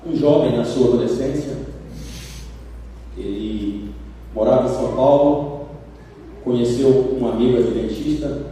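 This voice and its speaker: A man with a Brazilian accent preaching a sermon, telling stories with lively acted-out quotes. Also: mid-range voice, far from the mic, a little hiss or hum. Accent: Brazilian Portuguese. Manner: animated storytelling, acts out quoted voices. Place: church sanctuary. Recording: roomy church hall from afar.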